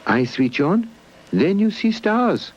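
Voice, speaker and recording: A man's voice: high-pitched, big pitch swings, takes it slowly, husky, pitched above the performer's own voice, exaggerated voice. A British man voicing a cautious, earnest toy inventor in a children's puppet story. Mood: earnest, hesitant. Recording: dull lo-fi VHS tape transfer.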